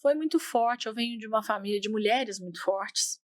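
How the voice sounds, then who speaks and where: A woman's voice: a little high, brisk, no room sound, studio quality. A middle-aged woman with a Minas Gerais accent, a guest telling her story in an interview, earnest and often restarting her words. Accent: Minas Gerais Portuguese. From Minas Gerais, Brazil. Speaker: guest, healthcare executive. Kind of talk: reflective interview conversation.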